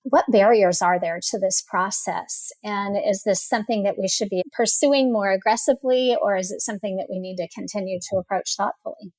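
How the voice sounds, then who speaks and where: A woman podcast host interviewing researchers in a measured, articulate way. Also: mid-range voice, a little mellow, no room sound, poor audio.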